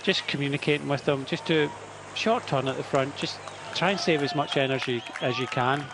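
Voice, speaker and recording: A man co-commentating a cycle race, relaxed and rambling, giving rider's-eye tactics. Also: a little high, a little fast, crowd noise, background noise. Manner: relaxed, self-deprecating, rambling. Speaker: cycling co-commentator. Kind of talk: live race commentary chat. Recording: commentary over loud race ambience.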